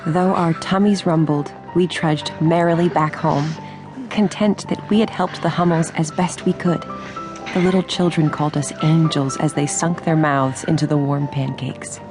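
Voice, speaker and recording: An actress playing a grown daughter who recalls her girlhood in measured, reflective radio drama narration. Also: sits low, steady tone, over music, lightly acted. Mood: warm, storytelling.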